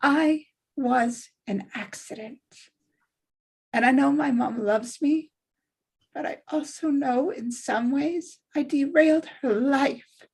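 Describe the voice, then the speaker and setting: A woman's voice: high voice, slow, creaky, darkish tone, full character voice, poor audio. A woman playing an earnest, conflicted young woman in a dramatic script table read, speaking in a heartfelt, plain-spoken way. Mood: pained, earnest.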